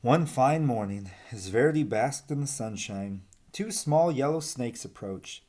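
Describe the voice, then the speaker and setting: A man reads a children's story aloud in an expressive storyteller's style. His voice sits at an average pitch and moves up and down with lots of animation. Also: unhurried.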